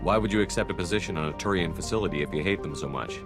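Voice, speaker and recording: An actor playing a confident, competitive soldier hero in a video game, terse and assertive. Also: male voice, sits low, racing. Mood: confident, challenging. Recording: game music behind the voice.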